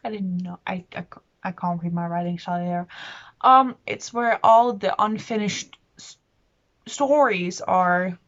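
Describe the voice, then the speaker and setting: A woman with an average-pitched voice chats casually, as if to her viewers on a book vlog. She is enthusiastic and rambles, often poking fun at herself. The recording is a little dull and lo-fi. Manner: enthusiastic, rambling, self-deprecating. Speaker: book vlogger. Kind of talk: casual chatty monologue to camera.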